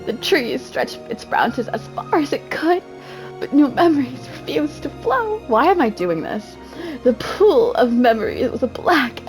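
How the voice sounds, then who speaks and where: A woman's voice: sits high, poor audio. A woman giving a mock-dramatic amateur reading, theatrical and self-mocking, often breaking off into casual asides. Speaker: amateur reader.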